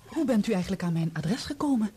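An actress playing a guarded, worldly society woman in a Dutch radio play, with a husky voice. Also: medium pitch, expressive, fast, dark tone, a little hiss or hum, natural voice.

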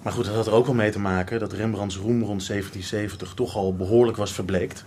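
A man's voice: on the low side, fairly even intonation, fast, darkish tone, slight background noise, natural voice. A man playing a young art history student, obsessive and teasing, in a radio drama. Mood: obsessive, animated. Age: young adult.

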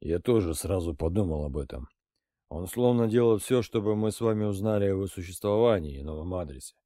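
A man reading an audiobook, voicing a composed middle-aged intelligence colonel who reports in a measured, matter-of-fact way. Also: low voice, animated, mellow, no echo, clean studio sound. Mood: even.

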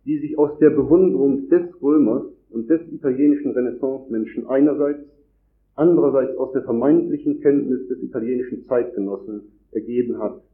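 A man with a cold gives a formal academic lecture at a conference, reading long, measured sentences from a script. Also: mid-range voice. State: has a cold. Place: conference hall. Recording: echoey hall over a tinny, phone-like line.

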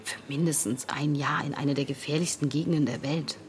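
An actress playing a grieving, insistent young woman in a naturalistic radio drama, speaking earnestly and haltingly. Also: low voice, steady tone, background noise, close to an everyday voice. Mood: hurt, pleading. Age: young adult.

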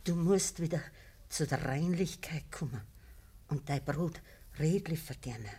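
An actress playing an anxious, pious and ailing Bavarian peasant mother in a radio play, gently pleading in a husky voice. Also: low voice, a little slow, exaggerated voice. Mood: gentle pleading. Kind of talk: German radio drama acting.

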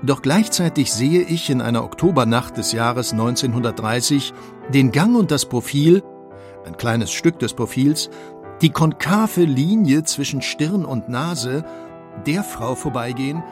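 A man plays a male avant-garde sculptor in an audio biography. He is pensive and sure of himself, with a measured, reflective delivery at a medium pitch. Faint music plays behind him. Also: animated, close to an everyday voice. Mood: pensive, absorbed. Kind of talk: dramatized quotation in an audio biography.

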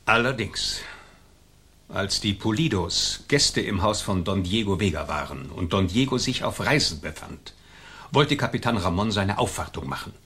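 A man's voice: fairly low pitch, lively intonation, normal speed, a bit bright, slightly rough. A man acting a haughty, vindictive governor in a radio play, imperious and scornful in formal speech. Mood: stern, accusing. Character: haughty, vindictive colonial governor, quick to rage.

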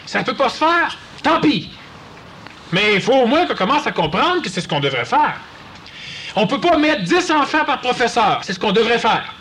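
A man with a Quebec French accent giving a lively, conversational talk to an audience and acting out quoted voices. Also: very high-pitched, moderate pace, slight background noise. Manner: animated, acts out quoted voices. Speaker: lecturer.

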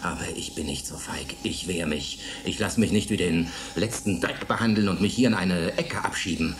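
An actor playing a defiant, hot-headed rebel held in a space dome, in a dramatic radio-play style. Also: male voice, low-pitched, moderate pace, lightly acted. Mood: bitter, defiant. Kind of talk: German radio play acting. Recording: noisy radio drama recording.

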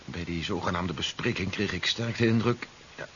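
An actor playing a bitter, stubborn general in a 1970s radio drama, grumbling and deliberate as he schemes. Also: low-pitched, a bit bright. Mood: conspiratorial. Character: disgraced general, bitter, stubborn, scheming under house arrest. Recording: dull, hissy old radio recording.

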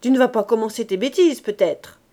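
A woman's voice: a little high, expressive, normal speed, lightly acted. A woman reading as a surly, snapping young man. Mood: impatient. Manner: snappish, loud, dismissive. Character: young man, irritable, brusque surly partner.

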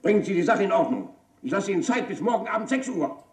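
Male boss, higher-pitched voice, cutting and commanding, radio crime drama, roomy echo. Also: sing-song, fast. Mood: angry, threatening. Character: stern, angry male company boss confronting an embezzler. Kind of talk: German radio crime play acting.

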